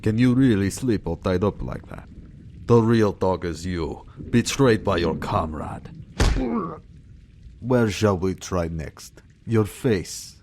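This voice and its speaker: An actor playing a cold, mocking torturer in a radio drama, taunting and full of menace. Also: male voice, deep voice, slow, background noise. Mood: sadistic taunting. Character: sadistic male torturer villain, cold and mocking.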